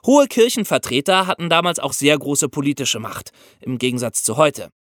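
Male podcast storyteller: lively, swooping intonation, higher-pitched voice, explains things straight to the audience. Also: normal speed, no echo, clean studio sound. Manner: explanatory, addresses listeners directly. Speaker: podcast host. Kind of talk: scripted storytelling for a history podcast.

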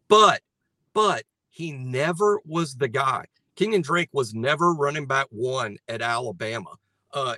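Dry bright-toned male sports analyst. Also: medium pitch, no room sound. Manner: measured, analytical, with frequent restarts. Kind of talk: casual sports talk.

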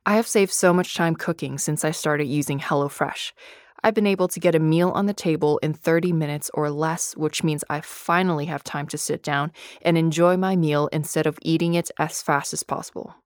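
A woman with a low voice delivers a scripted advertisement. Her read is conversational, like a podcast sponsor spot. The recording is close and dry, with very little room sound. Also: fairly even intonation, normal speed, dry recording. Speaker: podcast ad reader.

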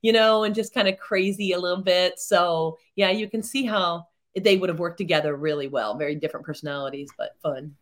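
Chatty middle-aged woman, animated. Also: fairly low pitch, brisk, few pauses. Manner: chatty and animated, rambling with frequent fillers and laughs. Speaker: theatre founder and performer, podcast guest. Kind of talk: casual interview chat.

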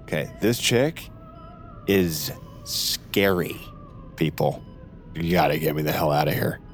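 A man's voice: a bass voice, expressive, a little slow, exaggerated voice. An actor playing a brash, sarcastic, stammering male patient in an audio drama, with music behind him. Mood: frightened. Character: rattled, wisecracking male patient plotting an escape. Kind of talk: audio fiction acting with inner-monologue asides.

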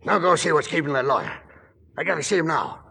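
An actor in a 1940s radio drama playing a domineering, stubborn old grandfather with a gruff, raspy voice, barking out his demands. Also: fairly high pitch, animated, quick pace, pushed and bright, long reverb tail, exaggerated voice. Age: older. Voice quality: gravelly, raspy.

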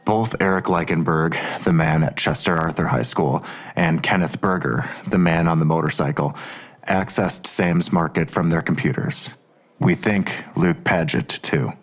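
Male detective, very low and husky; terse, guarded, weary father carrying worry. Audio drama. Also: measured pace. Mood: businesslike.

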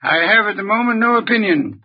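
Pompous, high-voiced male detective genius. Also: lively intonation, fairly quick, slightly rough. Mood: dry, probing. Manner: formal, precise, pompous diction. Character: pompous, deliberate, eccentric middle-aged genius detective. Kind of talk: old-time radio drama acting. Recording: muffled vintage radio recording.

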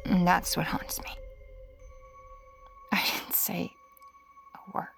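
A woman playing a haunted, regretful mother who narrates a dark past in a halting, confessional audio drama style, often dropping toward a whisper. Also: soft background music.

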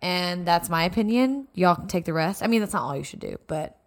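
A woman's voice: fairly low pitch, expressive, a little fast. A woman, asking the group questions and giving blunt, opinionated answers. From Waco, Texas. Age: 20s. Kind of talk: casual group chat.

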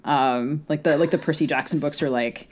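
A woman co-hosting a podcast in casual conversation about books, speaking in a thoughtful, hedging, halting way. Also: sits low, darkish tone. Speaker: podcast co-host and novelist.

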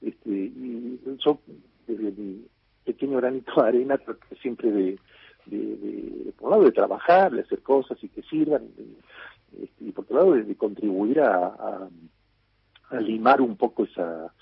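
A man's voice: on the low side, a little slow, gravelly, slightly rough, dry recording. A man with an Argentine accent, a writer answering questions on the radio, rambling with many fillers and restarts. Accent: Argentine Spanish. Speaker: guest writer. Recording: tinny phone-like line.

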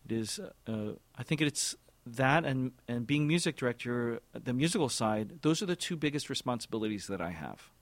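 A man in his forties, speaking at a middle pitch, gives careful, measured interview answers as an orchestra's music director. He often stops and restarts, repeating small words and filling gaps. The sound is close and dry, with no room echo. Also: animated. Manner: thoughtful, halting, many repeated words and fillers.

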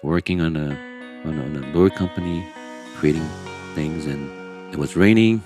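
A middle-aged man, born in Hong Kong and raised in Brazil, speaking earnestly as a guest in an interview, with emphatic, halting restarts. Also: a bass voice, over music. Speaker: guest angler. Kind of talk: candid interview storytelling.

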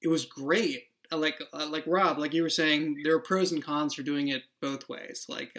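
A man's voice: medium pitch, fairly bright tone. A man, the podcast's creator and writer, speaking in a casual interview with dry humor and earnest reflection.

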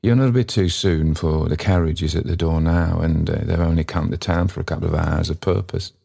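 A man's voice: low-pitched, fairly even intonation, a little fast, gravelly, warm tone, breathy edge, heavily acted. A man voicing a fat, breathless porter of a grand house in a storybook, speaking in a thick whispery voice. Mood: gruff, unhurried.

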